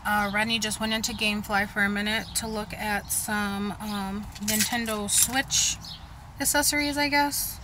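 A woman's voice: mid-range voice, fairly even intonation, unhurried, noisy background. A young woman chatting casually through a grocery haul vlog, enthusiastic about the food she shows, speaking into a handheld camera in her car and later in her kitchen. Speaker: vlogger. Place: parked car, then kitchen.

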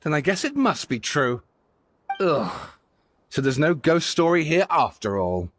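A man's voice: on the high side, big pitch swings, measured pace, a bit bright, slight background noise. A man voicing a stubborn, theatrical novelist in a game, with a dramatic, pompous delivery. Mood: deflated. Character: theatrical, stubborn male novelist, gray-haired.

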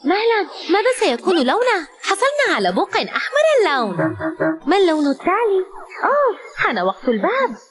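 A woman's voice: very high voice, big pitch swings, quick pace, soft background music. A woman narrating a children's learning cartoon in an exclamatory, questioning way, as if talking to the character. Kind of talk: animated scripted narration for young children.

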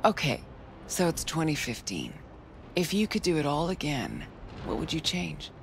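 A woman playing a tough, nostalgic veteran fixer in a video game, talking in an intimate, wry, measured way. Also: sits low, very animated, creaky, a little hiss or hum, heavily acted. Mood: wry, teasing.

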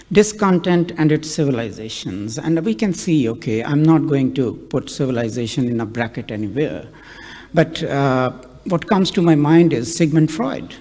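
A middle-aged man interviewing an author on a festival stage, asking formal, halting questions into a handheld mic. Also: mid-range voice, darkish tone. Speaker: interviewer, academic moderator. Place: large stage hall at a festival. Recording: long reverb of a hall.

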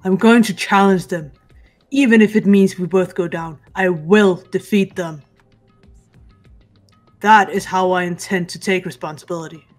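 A woman voicing a huge, stoic, honorable female martial artist in a visual novel game, speaking in a solemn, measured way. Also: on the low side, soft background music, lightly acted. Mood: solemn resolve.

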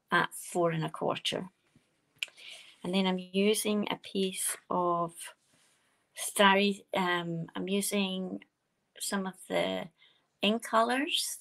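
Quiet-voiced woman with heavy vocal fry. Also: mid-range voice. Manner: chatty, explaining steps while working. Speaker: card-making demonstrator. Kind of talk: live crafting demonstration. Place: craft desk, indoors.

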